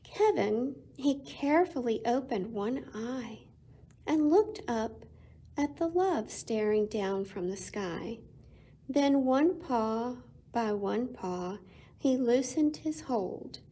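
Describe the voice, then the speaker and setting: A woman reading a picture book aloud to children as a storytime reader, with expressive delivery and dramatic pauses. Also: fairly high pitch, slightly rough.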